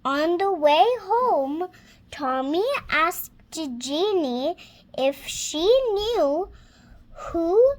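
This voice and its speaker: A young girl of six reads a children's storybook aloud in a high voice. Her reading is very slow and hesitant, as she stops to sound out words. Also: animated. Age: child, six years old.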